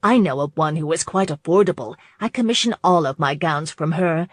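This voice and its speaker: A woman's voice: low voice, expressive, brisk. A woman voicing a cheerful young noblewoman, effusive and beaming, in an audiobook romance. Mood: happy, eager. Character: cheerful, gushing young noblewoman, warm friend.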